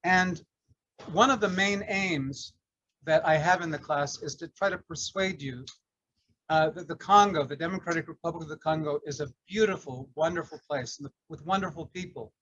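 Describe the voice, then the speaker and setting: An older man with a somewhat high voice, measured and earnest, pausing often on fillers. The sound is dry and dull, with little room around it. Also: fairly bright tone, no room sound. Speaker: retired English professor, class teacher. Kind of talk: casual class introduction.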